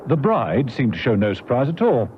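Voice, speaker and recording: A man's voice: mid-range voice, sing-song, normal speed, close to an everyday voice. A man playing the storyteller of a spy radio serial, narrating in a scripted, dramatic style. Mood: suspenseful action. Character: brisk, animated male storyteller of a spy serial. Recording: noisy muffled vintage radio recording.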